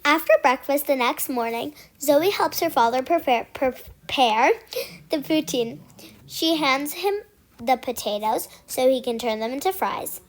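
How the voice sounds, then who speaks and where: A child with a high voice reads a picture book aloud at a slow pace. The reading is halting, with words repeated and corrected as it goes, in the way of an amateur read-aloud.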